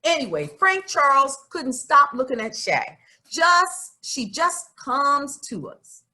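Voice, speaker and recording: A woman plays an earnest, stubborn farm girl of about twelve telling her own storybook tale. The voice is bright and very animated in pitch. The telling is plain-spoken, folksy and confiding. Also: slow, heavily acted. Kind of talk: children's storybook narration.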